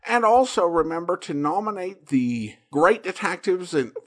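Male podcast host, fairly high voice, very lively intonation, casual and earnest listener-mail segments. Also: normal speed.

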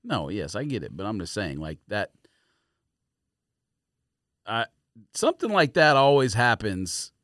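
Low-voiced man in animated sports chat. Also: measured pace, pauses often, dry recording, studio quality. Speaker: podcast co-host.

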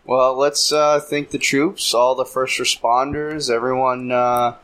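A man on a podcast panel in a cigar lounge, speaking haltingly with many fillers. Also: mid-range voice, little pitch movement, a bit bright. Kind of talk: casual chat.

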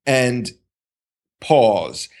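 A man speaking as a podcast guest, talking in a deliberate, halting way with many pauses and restarts. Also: medium pitch, expressive, a little mellow. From United States. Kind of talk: casual conversational interview.

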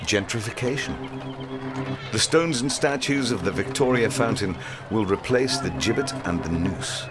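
Low-voiced man narrating a dark historical drama. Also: animated, normal speed, music in the background. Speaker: story narrator.

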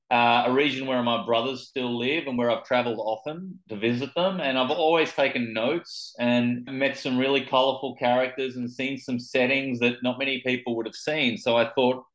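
A man's voice: mid-range voice, a bit bright, echoey room, poor audio. A man with an Australian accent, an author answering interview questions thoughtfully, often restarting his phrases. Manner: thoughtful, with frequent restarts and repeated words. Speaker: author guest.

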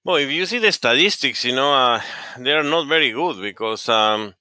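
A man's voice: medium pitch, lively intonation, normal speed, bright, forceful tone, dry recording, clean studio sound. A middle-aged man from Peru with a Peruvian Spanish accent, speaking English as a second language, answering interview questions earnestly with frequent fillers and restarts. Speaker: oncologist guest. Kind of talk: podcast interview.

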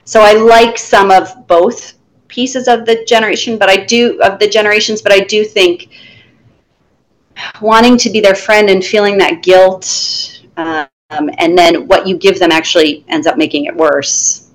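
A woman's voice: medium pitch, fairly even intonation, measured pace, low-quality recording. A middle-aged woman, a teacher being interviewed, talking in a casual, firm and self-assured way with a strong voice and easy laughs.

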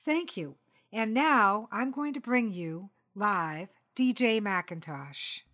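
A woman presenting and interviewing as a podcast host, speaking in a measured, articulate and polite way. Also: mid-range voice, lively intonation, fairly bright tone.

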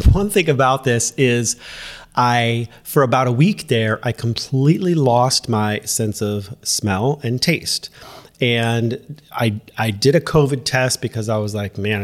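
A man hosting a podcast, stuffed up and nasal from a head cold, talking casually and directly to his listeners. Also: mid-range voice. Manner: talks straight to the listener, often checking in with "okay?". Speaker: podcast host and running coach. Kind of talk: casual solo podcast talk.

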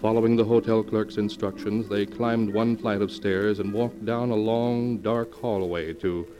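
An actor narrating a suspense tale in solemn, deliberate 1940s radio style, with an ominous manner. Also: male voice, on the low side, fairly even intonation, close to an everyday voice. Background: dramatic music.

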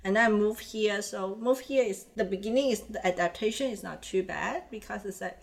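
Animated woman guest. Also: medium pitch, brisk, gravelly. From Hong Kong. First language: Chinese. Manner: animated, laughs often, acts out quoted voices. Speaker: interview guest, animator and illustrator. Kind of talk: casual interview chat.

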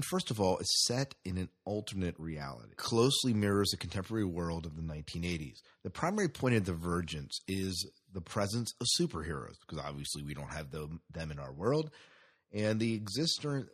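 A man's voice: sits low, very animated, a little fast, breathy edge. An American man hosting a podcast in a casual, informal way, often hesitating and correcting himself. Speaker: podcast host. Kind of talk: casual sci-fi news and movie segment talk.

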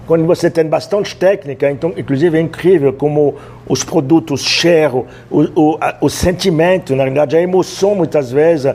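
French-accented man, slightly high voice. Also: brisk, darkish tone, breathy edge. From France. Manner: storytelling, hesitant with many fillers, laughs easily. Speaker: chef, interview guest. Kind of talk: casual interview talk.

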